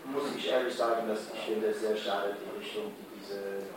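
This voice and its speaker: A man whose first language is not German, speaking haltingly on a discussion panel and searching for his words. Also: lowish voice, steady tone, crowd noise. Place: large hall at a community event. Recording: roomy hall sound from far off, noise.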